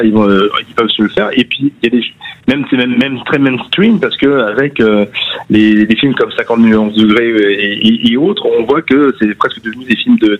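A man in his fifties calling in to a radio talk show, speaking hesitantly with many restarts and fillers. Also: medium pitch. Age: 50s. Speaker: radio caller. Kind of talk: call-in chat. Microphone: phone. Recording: dull phone-line sound.

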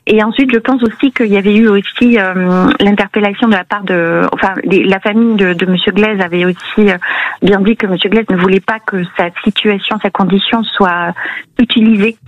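A woman's voice: mid-range voice, fairly even intonation, normal speed, no room sound. A woman from France, calling a radio show and giving her views conversationally, often searching for words. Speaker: radio phone-in caller. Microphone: phone call to radio studio. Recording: dull, band-limited phone-in line.

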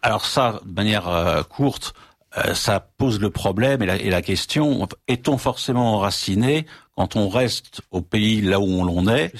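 A man's voice: on the low side, unhurried, no echo, studio quality. A man moderating a discussion, asking questions with some hesitant fillers. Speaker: host, moderator.

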